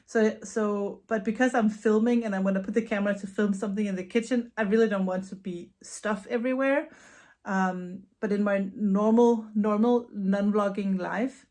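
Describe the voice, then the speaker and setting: A Danish woman with a Danish accent, answering viewer questions in a casual knitting vlog at home, chatty with soft laughs and repeated words. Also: medium pitch, steady tone, normal speed. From Denmark. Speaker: knitting vlogger and yarn dyer. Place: her home.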